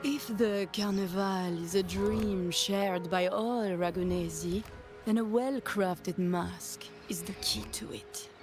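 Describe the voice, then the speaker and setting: An actress playing a playful, theatrical madame of a mask shop in a video game, with genteel, flowery phrasing. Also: female voice, mid-range voice, fairly even intonation, takes it slowly, over music. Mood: mysterious, musing. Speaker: shopkeeper.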